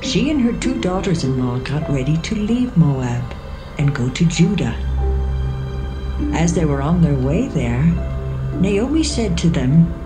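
Casting: female Bible narrator, unhurried, lower register, clear music bed underneath. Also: sing-song. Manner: measured storytelling.

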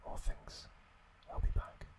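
A man whispering an ASMR recap as host, telling it in short, hesitant phrases with pauses. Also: noisy background.

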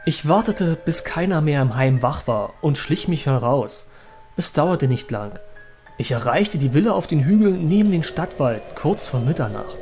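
A man's voice: fairly high pitch, expressive, a little mellow, soft background music. A man playing a haunted young trainer, recounting his story in a brooding, dramatic way for a horror audio drama. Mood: fearful, suspenseful. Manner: dramatic, halting at moments, brooding. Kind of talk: German horror audio drama narration and acting.

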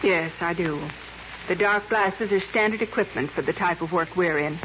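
A woman's voice: fairly low pitch, moderate pace, lightly acted. An actress playing a composed, businesslike female gem dealer in a 1950s radio drama, with a clipped delivery. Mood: composed, confiding. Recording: muffled 1950s radio recording with hiss.

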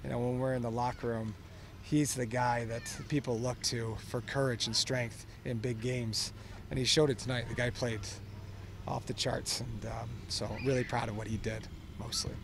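A man in his thirties, a soccer coach, answering postgame questions outdoors at night with earnest, halting talk. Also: mid-range voice, flat delivery, background noise. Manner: earnest, halting, many fillers and restarts. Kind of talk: postgame interview. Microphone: handheld microphones held close.

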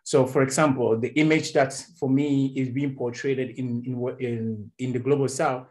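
A young man from Ghana, a youth worker, giving an earnest, halting panel answer over a video call in a small room. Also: medium pitch, steady tone, a little mellow. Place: small indoor room with door.

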